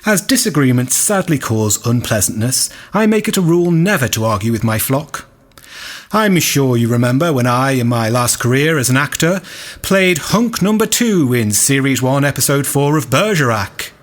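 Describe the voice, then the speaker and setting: Man playing a pompous vain radio vicar, animated. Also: medium pitch, normal speed, natural voice. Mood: smug, preachy. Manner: pompous, preachy. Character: vain, self-satisfied, sanctimonious male vicar with a radio show. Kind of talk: comic radio sermon monologue.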